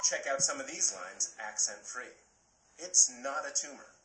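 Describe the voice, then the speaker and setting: A man playing a stubborn action-star pitchman with an Austrian accent in a parody TV commercial, declaiming the ad copy. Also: animated, normal speed, pushed and bright, faint music behind. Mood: insistent. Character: comic Arnold Schwarzenegger-style action star pitching an accent-removing spray. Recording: roomy, noisy playback of a clip.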